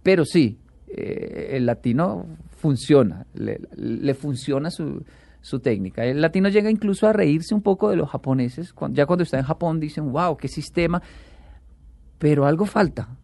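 A man with a gravelly, creaky voice, chatting as a guest on a talk show. He tells his stories with energy and acts out what others said. His voice sits fairly high for a man, with a dark, mellow tone. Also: normal speed, no room sound. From Colombia. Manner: animated storyteller, acts out quotes. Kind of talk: casual chat.

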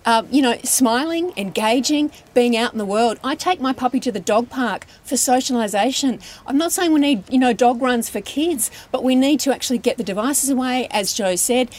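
A woman's voice: on the high side, normal speed, hardly any pauses, a little mellow. A woman speaking earnestly and emphatically as a panellist in a discussion. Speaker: radio panellist.

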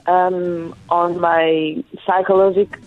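A young woman from France answering interview questions in English, soft-spoken and halting, with pauses. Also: lowish voice, level tone, faint music behind. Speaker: guest, athlete. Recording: tinny phone-like line.

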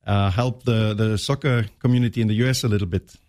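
A man's voice: fairly low pitch, steady tone, a little mellow. Polite German-accented man. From northern Germany. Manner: polite, measured, with hesitant fillers. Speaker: soccer coach guest. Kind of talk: radio interview answers.

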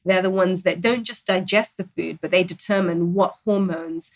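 A woman who grew up in Zambia, a dietitian guest on a talk show, explaining calmly and earnestly. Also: on the low side, moderate pace. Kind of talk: expert explaining in interview.

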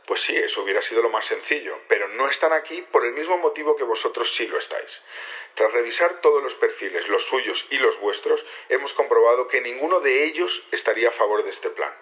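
A man's voice: medium pitch, lively intonation, brisk, natural voice. A dull, lo-fi radio-like recording of a male rebel leader from Spain played by an actor. He explains his plans in a naturalistic way, with small hesitations, as in an audio drama. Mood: measured, resolute. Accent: Spanish (Spain). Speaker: actor in a fiction podcast.

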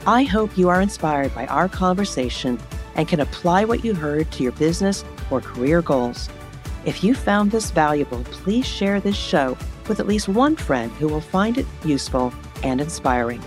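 A woman's voice: low voice, lively intonation, moderate pace, soft background music. A woman hosting a solo business podcast who is losing her voice. She coaches leaders in a direct way and asks many rhetorical questions. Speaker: podcast host and leadership consultant.

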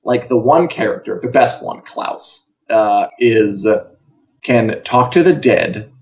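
A man co-hosting a casual pop culture news chat in a wry, jokey, chatty way, over a video call. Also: medium pitch. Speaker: co-host of a pop culture live show. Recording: dull, lo-fi sound.

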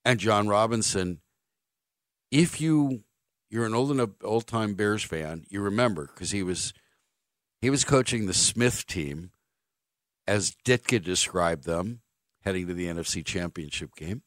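Guy doing sports radio rant, lowish voice, blunt and emphatic, dry studio sound. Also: unhurried, no room sound. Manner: emphatic, blunt, repeating phrases for stress. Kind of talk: opinionated sports talk monologue.

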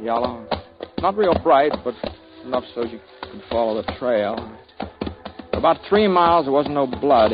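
An actor playing a calm, dry-spoken frontier gunman who narrates his own story in a 1950s radio drama. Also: medium pitch, natural voice. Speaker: lead narrator and hero. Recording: dull old radio broadcast with music.

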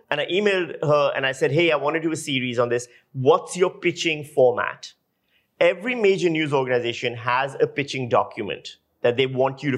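Emphatic man explaining from experience. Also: fairly high pitch. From Pakistan. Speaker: guest lecturer and audio journalist. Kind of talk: explanatory interview talk with anecdotes.

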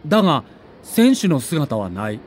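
A man narrating a scripted documentary in a dramatic, measured storytelling manner. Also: fairly high pitch, very animated, a little hiss or hum.

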